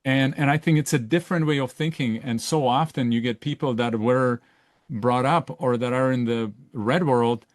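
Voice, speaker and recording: A middle-aged man from Czechoslovakia hosts a casual podcast chat at a mid pitch. His voice is close and very dry. He gropes for words, restarts his sentences and checks in with the listener as he talks. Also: steady tone, moderate pace, no echo. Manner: searching, self-correcting, with frequent "right?" tags. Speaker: podcast host. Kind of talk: casual conversational interview.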